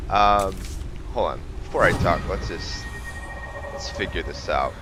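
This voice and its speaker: A man's voice: sits low, a little slow, heavy background noise. A man giving casual, laid-back commentary as he plays a video game, thinking aloud. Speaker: gamer narrating his playthrough. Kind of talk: casual gameplay commentary with roleplay.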